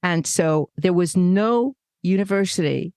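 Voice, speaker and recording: An older woman co-hosting a podcast, telling stories in a lively, conversational way. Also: fairly low pitch, unhurried, no room sound, studio quality. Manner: animated storyteller, acts out remembered dialogue. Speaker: podcast co-host. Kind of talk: casual conversation.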